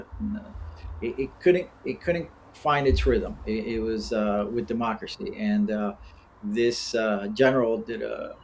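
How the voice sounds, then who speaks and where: An American man in his fifties speaks as an interview guest. His delivery is conversational and halting, wandering off into side stories, with a mid-range voice. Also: medium pitch, a little hiss or hum. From born in Cuba, grew up in the United States. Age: 50s. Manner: rambling, digressive, halting. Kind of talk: casual conversational storytelling.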